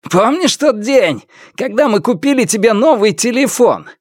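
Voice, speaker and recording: A man voicing a frantic, wounded villain in an audiobook, hoarse and breathless, with a taunting, raised voice. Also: extremely high pitch, big pitch swings, measured pace, dry recording, studio quality, voice pushed up, exaggerated voice. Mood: gloating. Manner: shouting and taunting. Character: frantic wounded male villain, gloating and enraged.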